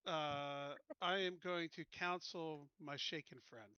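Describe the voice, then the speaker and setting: A man with a fairly high, clear voice plays a tabletop game. He talks casually into a headset, with dry, slightly dull sound. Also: fairly even intonation, takes it slowly, sharp tone, dry recording.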